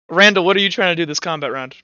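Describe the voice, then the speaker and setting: A man running a tabletop roleplaying game as game master, narrating and explaining rules informally, thinking out loud as he goes. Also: a little high, fast, clear, resonant tone, no echo, lo-fi sound.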